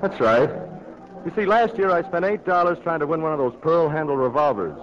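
Lively, boyish young farm lad played by a man. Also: a little high, fairly quick, crowd noise, lightly acted. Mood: eager, friendly. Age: young adult. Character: eager, cocky, good-natured farm boy son. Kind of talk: 1940s radio acting. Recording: dull, noisy 1940s radio broadcast.

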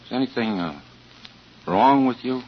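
An actor playing a suspicious, uneasy husband and father who narrates in a tense, halting, deliberate way in 1940s radio style. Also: mid-range voice, animated, slight background noise, lightly acted. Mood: probing, uneasy. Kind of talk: 1940s radio acting with first-person narration. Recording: dull vintage 1940s radio with music.

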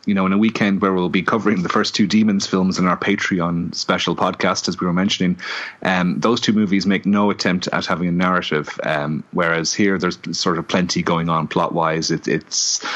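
A man co-hosting a podcast, casually reviewing a film with lots of ums and pauses. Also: low voice. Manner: relaxed, many ums and hesitations. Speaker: podcast co-host. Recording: dull lo-fi audio.

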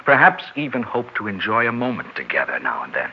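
Worn-down husband, pleading and reasonable, low register, dull vintage broadcast. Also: male voice, sing-song, fairly quick, slightly rough, full character voice. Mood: strained, reasoning. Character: weary, exasperated husband pleading for peace. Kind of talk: 1960s radio drama acting. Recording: dull 1960s radio recording.

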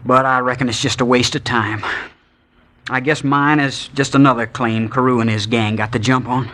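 An actor playing an earnest young prospector with a shoulder wound in a 1950s radio drama. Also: male voice, medium pitch, fairly even intonation, moderate pace, pushed and bright, lightly acted.

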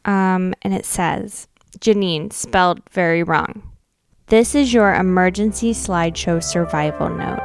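A woman's voice: on the low side, measured pace, vocal fry, faint music behind. A woman co-hosting a podcast, casually chatting and reading aloud in a chatty way. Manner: chatty, uses like and you know often. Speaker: podcast co-host. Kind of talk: casual chat with dramatic readings of old notes.

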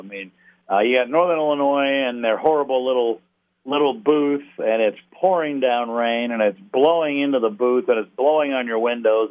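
A middle-aged sports announcer is heard over a narrow, tinny phone line. His voice is mid-pitched, and he talks conversationally as a guest, in a halting, wry way. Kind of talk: conversational interview. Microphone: telephone.

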